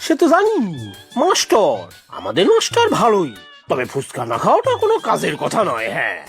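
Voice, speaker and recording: A woman's voice: on the low side, huge pitch swings, brisk, vocal fry, faint music behind, heavily acted. A woman voicing a comic, boastful, food-loving father in a cartoon, with blustering, exclaiming delivery and emphatic little tag words. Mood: teasing. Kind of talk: exaggerated cartoon voice acting.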